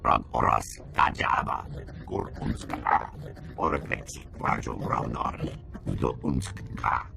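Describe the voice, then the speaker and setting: A man voicing a hulking, menacing reptilian alien warrior in a video game, speaking a guttural invented tongue with a gravelly growl. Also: a bass voice, slow, noisy background, exaggerated voice.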